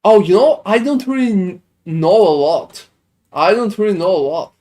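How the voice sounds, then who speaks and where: A man's voice: high voice, expressive, moderate pace, a little mellow. A man from Sweden streaming a video game, chatting casually with viewers, rambling and swearing as he answers their questions. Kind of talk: casual gaming stream chat.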